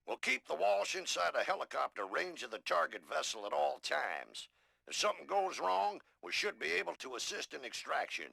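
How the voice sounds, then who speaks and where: A man playing a raspy military officer who briefs an agent in a video game. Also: on the high side, very animated, normal speed, pushed and bright, no echo, heavily acted. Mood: businesslike.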